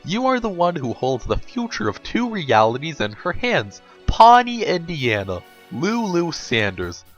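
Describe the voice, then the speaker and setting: A man's voice: on the high side, wildly swooping pitch, a little slow, few pauses, lo-fi sound, soft background music. A man voicing an earnest girl leader from a storybook, lecturing in a pompous, professorial, emphatic way. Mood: solemn, commanding.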